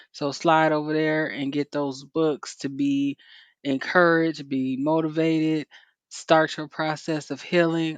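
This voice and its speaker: A woman with a fairly deep voice co-hosting a casual podcast, chatting loosely with lots of "you know" and "like" and frequent laughter. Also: dry recording. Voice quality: deeper voice. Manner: laughs often, many fillers like "you know". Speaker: podcast co-host. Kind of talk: casual chat.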